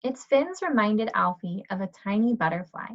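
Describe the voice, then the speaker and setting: Female read-aloud teacher, medium pitch, children's storybook narration, slightly muffled lo-fi sound. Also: animated, normal speed. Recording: dull lo-fi audio.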